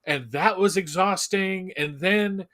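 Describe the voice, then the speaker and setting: A man's voice: high-pitched, slow, sharp tone. A man chatting casually as a fan on a live show, informal and emphatic, rambling a little as he goes.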